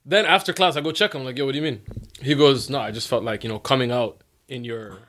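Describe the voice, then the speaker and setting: A man telling a story as a podcast guest, acting out quoted lines in a raised voice and laughing often. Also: fast talker. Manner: animated storyteller who acts out quotes in a high voice and laughs a lot. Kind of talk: casual storytelling chat.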